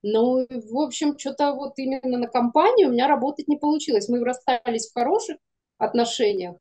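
A woman in her forties talks casually as a guest on a video call. Her voice sits a little high and has a very mellow, relaxed tone. She is frank and self-deprecating, explaining things in an informal, conversational way. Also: a little fast. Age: 40s. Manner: candid, self-deprecating, laughs while talking. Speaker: guest, animation studio producer. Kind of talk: casual interview chat. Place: indoor room, video call.

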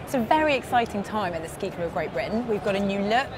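A woman in her thirties giving a polished, upbeat promotional pitch for a club at a busy exhibition stand. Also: animated, quick pace, a crowd in the background. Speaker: club representative. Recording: hall noise behind.

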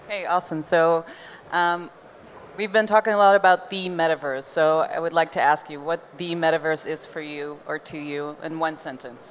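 A woman's voice: sits low, fairly even intonation, moderate pace. A woman from Belgium, speaking with an American accent, moderates a panel on a conference stage. She talks into a handheld mic in a casual, loose way with plenty of fillers. A faint background hum sits under her voice. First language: not English. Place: large conference hall stage.

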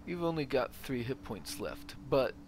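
A man's voice: mid-range voice, very animated, a little slow, slight background noise. A man giving casual gameplay commentary as a gaming host, thinking aloud as he plays. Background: video game ambience.

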